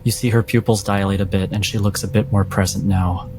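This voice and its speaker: A man narrating a tabletop horror game as game master, deliberate and suspenseful, often breaking his phrases for drama. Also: fairly low pitch, fairly even intonation, noisy background. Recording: music behind the voice.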